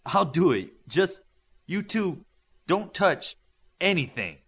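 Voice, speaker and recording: A man's voice: high voice, big pitch swings, slow, fairly bright tone, husky, pitched above the performer's own voice, full character voice. A man voicing a bossy, exasperated young woman with exacting taste in a fussy, emphatic way.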